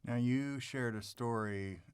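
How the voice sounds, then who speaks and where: A man's voice: mid-range voice, slow pace, clean tone, no room sound. A man hosting a studio interview, putting questions to his guests. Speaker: interviewer, show producer. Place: radio studio.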